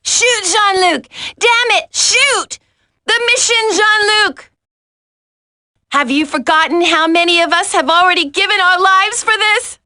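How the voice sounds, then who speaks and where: Very high-pitched captive agent. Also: female voice, a little slow, sharp tone, no echo, clean studio sound, full character voice. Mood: desperate, defiant. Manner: anguished, urgent, breaking. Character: defiant young female special agent held captive. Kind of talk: video game voice acting.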